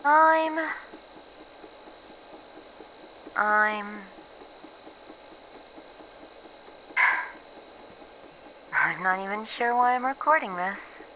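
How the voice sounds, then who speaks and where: A woman playing a guilt-ridden research scientist who records secret logs in a horror ASMR audio drama, speaking haltingly and repeating herself. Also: mid-range voice, sharp tone, a little hiss or hum, rough recording. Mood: weary doubt.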